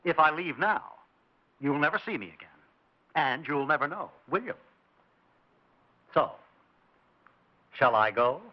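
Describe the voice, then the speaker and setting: Smug, animated mysterious houseguest, old radio. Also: male voice, fairly high pitch. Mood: challenging. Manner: teasing, mock-courteous, unhurried needling. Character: smug, evasive, mysterious male houseguest, needling his hosts. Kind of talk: 1960s radio drama acting. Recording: dull lo-fi 1960s radio recording.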